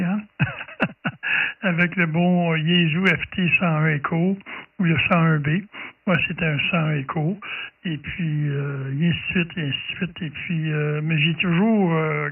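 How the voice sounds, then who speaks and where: A man's voice: a little high, vocal fry. A man in his sixties or older with a Québécois French accent, chatting casually on amateur radio and repeating his phrases. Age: 60s or older. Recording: distant, phone-like radio audio.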